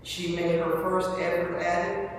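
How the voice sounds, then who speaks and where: Female memorial reader, halting measured delivery, distant in a reverberant hall with faint noise. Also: fairly low pitch, level tone. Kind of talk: scripted memorial reading. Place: large church hall, indoors. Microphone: far from the speaker. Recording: echoey church hall, distant mic, noisy.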